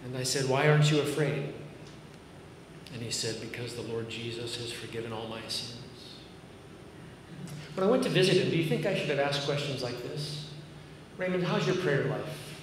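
Massachusetts-accented pastor in an echoey hall. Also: male voice, mid-range voice, animated, normal speed, pauses often. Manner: earnest, conversational preaching with dry self-deprecating humor. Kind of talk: sermon. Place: large church auditorium stage. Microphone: podium mic, filmed by a far camera. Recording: echoey hall from far off with noise.

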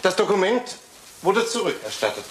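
A man's voice: high-pitched, sing-song, moderate pace. A male actor playing the brusque, impatient son of an English lord in a German radio crime drama, formal and commanding. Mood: irritated, controlled. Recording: faintly noisy old radio play recording.

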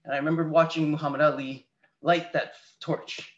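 A man's voice: a little high, level tone, normal speed. A Canadian man in his thirties giving an open, reflective seminar presentation in a conversational way over a video call. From Canada, near Toronto. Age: 30s. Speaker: guest speaker, patient advocate. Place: small room indoors, on a video call. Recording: dull lo-fi video call audio.

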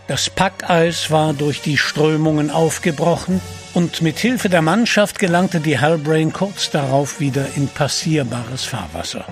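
A man narrating an adventure audio drama in a measured, suspenseful storyteller's manner. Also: fairly high pitch.

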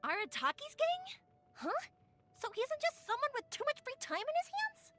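A high, girlish video game heroine, a cheerful young fireworks maker. Her delivery swings widely in pitch, chatty and expressive, like someone eagerly sharing stories with a friend. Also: moderate pace, faint music behind, heavily acted. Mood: surprised. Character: cheerful, warm-hearted young woman fireworks maker, lively storyteller. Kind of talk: video game character voice lines, conversational storytelling.

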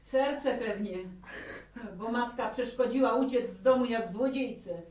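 A woman's voice: on the high side, a little slow, darkish tone, lightly acted. Actress as stern, reproachful village mother, roomy sound. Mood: wounded reproach. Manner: reproachful, exclamatory. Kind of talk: radio play acting in rural speech. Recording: roomy, faintly noisy radio recording.

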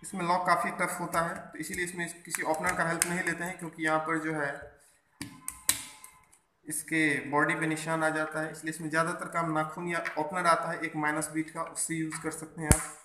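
A man with a high-pitched voice walks learners through a hands-on repair job. He explains each step, dropping in English technical terms. The room is echoey, with faint noise behind him. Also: completely flat delivery, a little fast. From India. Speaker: phone repair instructor. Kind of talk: hands-on tutorial narration. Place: indoors at a repair workbench.

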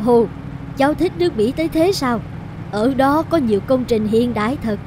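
A woman voicing a wealthy, kindly middle-aged lady in an animated moral tale, speaking politely and deliberately. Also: high voice, noisy background, lightly acted. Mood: friendly.

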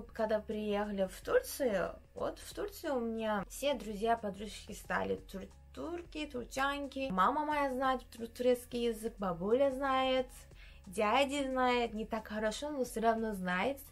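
A young woman from Uzbekistan with a Turkish-tinged accent in Russian, chatting casually while doing her makeup in her bedroom and often pausing to search for words. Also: on the high side. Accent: Turkish-influenced Russian. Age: young adult. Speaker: beauty vlogger. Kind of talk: casual makeup vlog chat. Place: small bedroom indoors.

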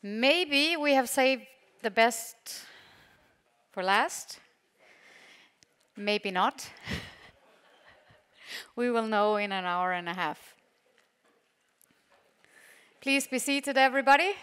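A woman with a Danish accent, a native Danish speaker, chairing a session and making a measured stage introduction with pauses. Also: a little high, expressive, a bit bright, smooth. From Denmark. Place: large conference hall on a stage.

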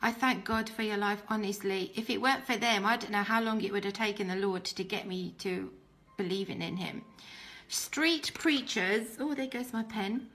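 Bright-voiced woman, earnest and halting. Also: mid-range voice. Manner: halting, reflective, earnest, repeats phrases for emphasis. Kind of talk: personal testimony to camera, informal. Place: small room at home, indoors. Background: music.

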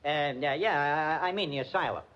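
An actor playing a blunt, suspicious police lieutenant in a 1940s radio drama, with an American accent and a colloquial hardboiled manner. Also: male voice, fairly high pitch, moderate pace, fairly bright tone, lightly acted. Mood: dry, probing. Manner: hardboiled, colloquial, hesitant interjections. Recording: muffled vintage 1940s radio recording.

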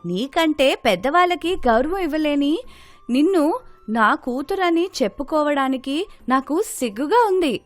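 A woman's voice: sits high, animated, measured pace, dry recording, soft background music. A woman playing a stern, principled middle-aged mother, admonishing and emphatic, in a story.

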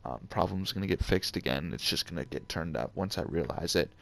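Deep quiet-talking young man, gravelly. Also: brisk. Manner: hesitant, many ums and uhs, laughs at himself. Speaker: gaming channel host. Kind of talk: casual talk to viewers.

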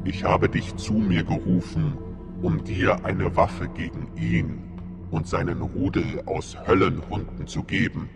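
Guy doing a deep gravelly old sage ghost, slow and grave, music under it. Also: fairly even intonation, exaggerated voice. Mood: solemn. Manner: solemn, measured, prophetic.

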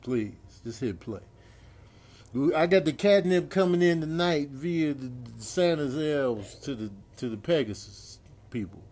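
A man's voice: fairly high pitch, expressive, unhurried, a little mellow. A man voicing a stubborn, sleepless cat who pleads insistently in slangy, drawling talk for a comedy animal voice-over. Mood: insistent, pleading.

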